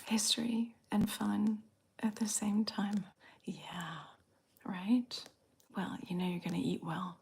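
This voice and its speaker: A woman from the Southern US with a slight Southern accent, softly whispering in an unhurried, drawn-out way as she chats with viewers in an ASMR stream. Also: mid-range voice, flat delivery, gravelly, slight background noise. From Southern United States. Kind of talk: ASMR whispered live chat.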